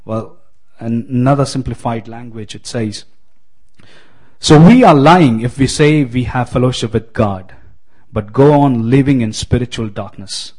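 Guy from giving a sermon, lots of ums and restarts, middle pitch, friendly. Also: darkish tone, rough recording. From Kerala, India. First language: Malayalam. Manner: hesitant, many fillers and restarts, friendly. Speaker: guest preacher.

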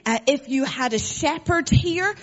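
A woman's voice: high voice, lively intonation, unhurried, highs cut off. A woman with an Irish accent, a guest preacher giving a conversational sermon, chatty and joking with frequent fillers. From Ireland.

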